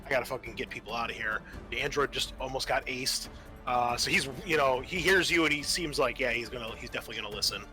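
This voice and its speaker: Bright-voiced man running a tabletop game. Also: mid-range voice, quick pace. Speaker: game master. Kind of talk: narrating a tabletop horror roleplaying game. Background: music.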